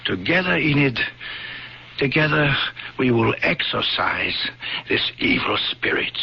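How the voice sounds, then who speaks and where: An actor playing an earnest, gently coaxing friend who also narrates the tale, in old-time radio drama style. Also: male voice, medium pitch, sing-song, unhurried, vocal fry. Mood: concerned, resolute. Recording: dull, faintly noisy lo-fi radio recording.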